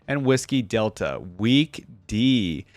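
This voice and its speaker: A man's voice: medium pitch, animated, takes it slowly, dry recording. A man giving casual reaction commentary as a video host, talking in an informal, rambling way.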